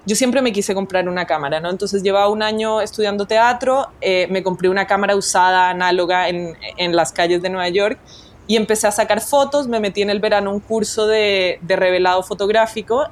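Woman from Colombia, interview guest, reflective storyteller, often restarts sentences, medium pitch. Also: fairly quick. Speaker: guest photographer. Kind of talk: conversational interview.

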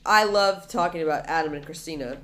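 A woman's voice: low-pitched, a little fast, slight background noise. A young woman co-hosting a podcast, talking animatedly and rambling as she chats about a TV show. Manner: animated, rambling, jumps into exaggerated quoted voices. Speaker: podcast co-host. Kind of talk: casual chat about a TV show.

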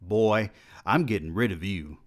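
A man reading aloud and voicing a stern, domineering older male college president who interrogates and scolds loudly. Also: sits low, lively intonation, slow pace, full character voice. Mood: scolding. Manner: loud, scolding, contemptuous.

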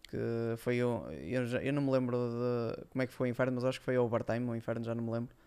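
A man's voice: medium pitch, fast, darkish tone, smooth. A man with a European Portuguese accent, reminiscing casually as a podcast guest in hesitant, loose phrases. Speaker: podcast guest, former esports player.

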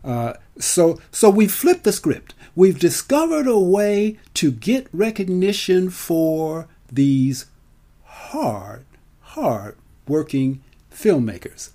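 A man's voice: high voice, sing-song, slow, dark tone. A man telling a personal story in a conversational monologue, emphatic and theatrical, with rhetorical questions. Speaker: film festival marketer and storyteller.

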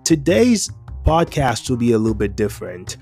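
A young man hosting a casual podcast, talking in a relaxed, rambling way with lots of fillers and restarts. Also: mid-range voice, normal speed, creaky, faint music behind. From immigrant to America. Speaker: podcast host.

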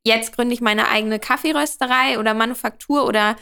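A woman's voice: fairly high pitch, steady tone, brisk, gravelly, sharp tone, clear, resonant tone. A woman hosting a conversational podcast interview, introducing and questioning her guest.

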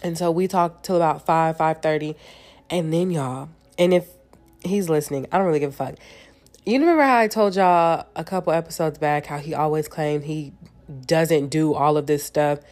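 A woman's voice: low-pitched, moderate pace, darkish tone. A young woman hosting a casual solo podcast, sounding congested and nasal from a cold, chatting candidly and swearing freely. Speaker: podcast host.

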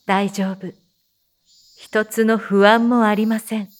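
A woman playing a soothing teacher who leads a guided meditation in a voice drama, counting and instructing in gentle, measured phrases. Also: medium pitch, flat delivery, natural voice. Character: soothing female teacher leading meditation amid zombie threat.

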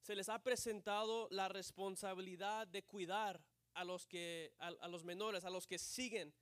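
A man with a high, smooth and resonant voice preaches at a church service. He speaks a phrase in Spanish, then repeats it in English, dropping frequent amens along the way. The sound is close and dry. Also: steady tone, a little slow, a bit bright, no room sound. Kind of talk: bilingual sermon, translating himself line by line.

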